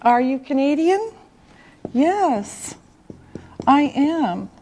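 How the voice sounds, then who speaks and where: A woman teaching an English class, speaking slowly and deliberately with clear enunciation. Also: sits high, big pitch swings, warm tone, a little hiss or hum. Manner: slow and deliberate, drawing out words.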